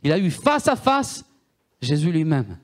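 A man's voice: on the high side, very animated, a little slow. A man preaching a sermon in French with a strong Italian accent, Italian being his first language, emphatic and often repeating himself and asking the listeners questions.

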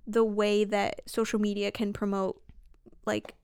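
A woman's voice: mid-range voice, level tone, a little slow, a little mellow, clear, resonant tone, dry recording, clean studio sound. A woman in her late twenties co-hosting a podcast, chatting casually and joking about herself, with lots of "like". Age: late 20s. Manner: chatty, self-mocking, lots of "like". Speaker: podcast co-host. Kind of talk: casual chat.

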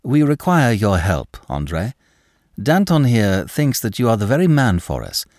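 Serious, conciliatory gentleman, read by a male narrator in a low voice, dry booth sound. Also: very animated, no echo. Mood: grave. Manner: grave, measured. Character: grave, conciliatory gentleman politician.

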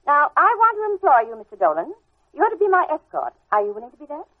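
Casting: middle-aged prim American schoolmarm, imperious, high swooping voice, old radio serial with tinny narrow sound. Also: female voice, very animated, fast talker. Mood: prim, businesslike. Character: prim, bossy, unflappable middle-aged New England schoolteacher woman. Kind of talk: old-time radio drama acting. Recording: tinny, band-limited vintage radio recording.

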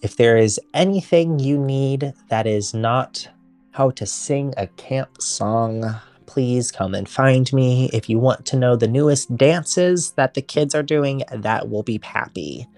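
A woman voicing a brisk, motherly female assistant camp director who is cheerfully overbooked. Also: extremely low pitch, darkish tone, soft background music, full character voice. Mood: chatty. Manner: fast, businesslike, cheerfully overbooked.

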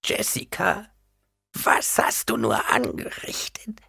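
A raspy, creaking old holy woman from an audiobook, acted out by a man, furious and horrified. The voice swings wildly from breathy hiss to shrill shriek, sharp and wailing. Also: sing-song, slow, no room sound, in a whisper, studio quality, soft background music, heavily acted. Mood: wailing, gasping.

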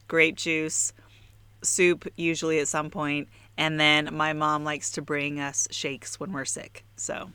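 A woman with a cold, chatting casually on a podcast with friends in a relaxed, friendly way. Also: low voice, slow pace, clear, resonant tone, no room sound. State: has a cold. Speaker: podcast co-host. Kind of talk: casual chat among friends.

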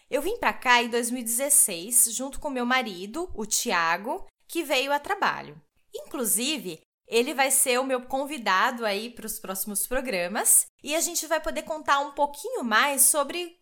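High-voiced Brazilian woman podcaster. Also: a bit bright. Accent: Brazilian Portuguese. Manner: chatty, addresses listeners directly; enthusiastic, laughs often. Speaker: podcast host. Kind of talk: solo podcast monologue.

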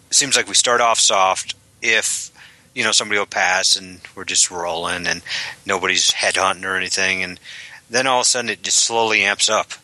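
A man's voice: fairly low pitch, bright, forceful tone, no room sound, low-quality recording. A man co-hosting a casual podcast, easygoing and agreeable, telling stories at length. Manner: easygoing, agreeable, drawn-out storytelling. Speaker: podcast co-host.

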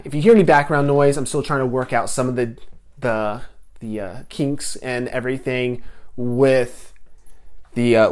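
A young man hosting a casual solo podcast from home, rambling a little with restarts and filler words, and slightly stuffy from a head cold. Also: medium pitch. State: getting over a head cold. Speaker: podcast host.

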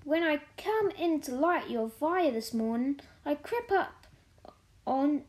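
A woman's voice: sits high, expressive, slow pace, a little mellow, voice pushed up. A woman voicing a good-humoured, plain-spoken young housemaid who talks in broad Yorkshire dialect, for a storybook. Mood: soothing, chatty.

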